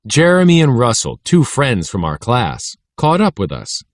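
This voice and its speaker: A man reading a children's story aloud as an audiobook narrator, with expressive delivery. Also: mid-range voice, moderate pace, no echo, studio quality. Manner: expressive, voices dialogue between schoolboys. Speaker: audiobook reader.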